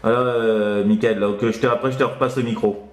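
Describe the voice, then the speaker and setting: A man's voice: lowish voice, steady tone, brisk, very noisy. A man chatting casually as a CB radio operator, in a hesitant, rambling, chuckling way. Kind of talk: casual radio contact chat. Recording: roomy, echoing room sound.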